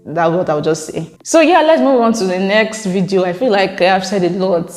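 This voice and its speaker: A woman's voice: mid-range voice, lively intonation, moderate pace, a little mellow, faint music behind. A young Nigerian woman vlogging casually, reading viewer comments aloud and explaining herself at length, laughing often. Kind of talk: casual chat to camera. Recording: echoey room with long reverb.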